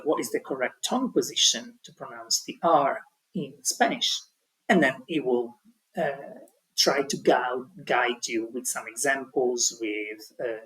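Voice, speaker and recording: Husky man giving a hesitant tutorial. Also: medium pitch, a bit bright, poor audio. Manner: hesitant, many ums and restarts. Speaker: language teaching tutor. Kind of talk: explaining an online tutorial.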